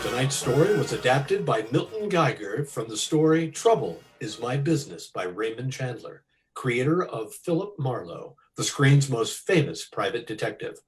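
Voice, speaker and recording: Lively male old-time radio announcer over music. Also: medium pitch, normal speed, rough recording. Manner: polished, salesmanlike. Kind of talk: 1940s radio announcing and ad read.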